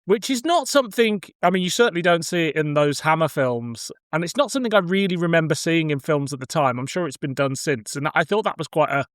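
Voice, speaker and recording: A man's voice: a little high, expressive, fast, hardly any pauses, no echo, clean studio sound. A man reviewing films in casual conversation as a podcast co-presenter. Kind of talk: casual film review chat.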